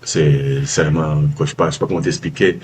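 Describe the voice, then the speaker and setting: A man with a Southern French accent, a former baker giving a casual testimonial, halting with many fillers and restarts. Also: a bass voice, fairly even intonation, husky. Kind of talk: casual video-call testimonial. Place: calling from abroad, early morning.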